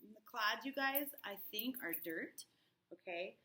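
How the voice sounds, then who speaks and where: A woman's voice: mid-range voice, expressive, unhurried, sharp tone, slight background noise. A woman teacher reading a play aloud to students, with explanatory asides and frequent check-ins. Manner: checks in often with the listener. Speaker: English teacher.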